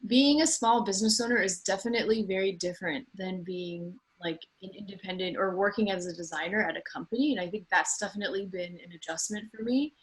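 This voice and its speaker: A woman's voice: medium pitch, quick pace, few pauses, poor audio. A woman in her thirties talks informally about her own career as a panel guest, in an earnest, conversational way. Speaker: designer and small business owner, panel guest.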